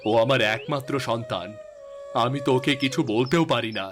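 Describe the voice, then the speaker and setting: A man playing a middle-aged father and husband in a cartoon drama, with a measured, earnest, admonishing manner. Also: mid-range voice, music in the background, close to an everyday voice. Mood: consoling. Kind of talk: melodramatic cartoon story acting.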